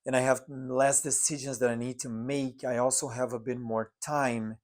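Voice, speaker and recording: A man's voice: mid-range voice, little pitch movement, normal speed. Guy cohosting a chill podcast, medium voice, earnest and thoughtful. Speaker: podcast co-host. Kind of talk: casual chat. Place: apartment living room.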